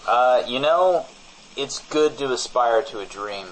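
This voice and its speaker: A man playing a shady, evasive businessman in improvised comedy banter, deadpan and casually menacing, often backtracking on himself. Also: mid-range voice, a little slow, a little hiss or hum, lightly acted, poor audio. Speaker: podcast co-host playing a character.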